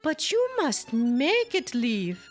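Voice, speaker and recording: A woman voicing a daring, excitable young girl in a storybook, pleading and insistent. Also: on the high side, slow, soft background music, pitched above the performer's own voice, exaggerated voice. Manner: excitable, emphatic.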